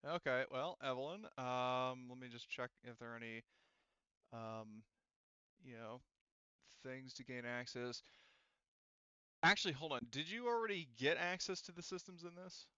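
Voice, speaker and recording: Clear-voiced man running a tabletop game. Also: medium pitch, moderate pace, long pauses, sharp tone, dry recording, muffled recording. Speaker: game master. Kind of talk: casual tabletop game narration and banter.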